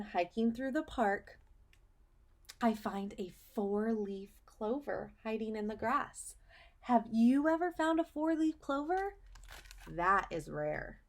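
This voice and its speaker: A woman teacher reading a story aloud for children, explaining as she goes and pausing to put questions to the listener, with emphasis on key phrases. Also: fairly high pitch. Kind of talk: read-aloud lesson for children, asking questions.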